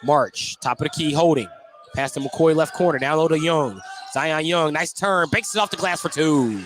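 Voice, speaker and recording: Man calling basketball play-by-play, slightly high voice. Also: moderate pace, a little hiss or hum. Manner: clipped, descriptive running call. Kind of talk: live radio sports commentary.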